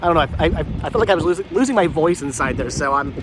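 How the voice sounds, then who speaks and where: A man's voice: fairly high pitch, lively intonation, racing, background noise. A middle-aged man with a congested voice chats casually to viewers as a vlog host, rambling and repeating his phrases. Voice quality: congested with a head cold.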